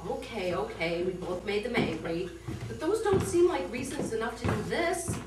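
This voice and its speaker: A woman acts out a placating but defensive wife in a small stage play, in a fairly high voice. She reasons and gently scolds. The recording is echoey and distant, with clear background noise. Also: animated, moderate pace, natural voice. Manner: reasoning, mildly chiding, defensive. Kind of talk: amateur one-act stage play acting. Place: indoor theatre studio, heard from afar.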